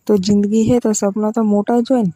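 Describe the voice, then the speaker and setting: A woman's voice: mid-range voice, flat delivery, fairly quick, warm tone, no room sound, close to an everyday voice. A woman with a Gujarati accent playing a demanding village in-law who wheedles insistently in a casual phone conversation. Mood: coaxing, stubborn.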